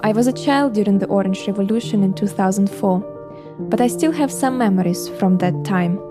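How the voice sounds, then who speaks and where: A Ukrainian woman in her thirties, a podcast host reading a measured, reflective scripted narration with a Ukrainian accent. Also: medium pitch. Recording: music bed behind voice. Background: music.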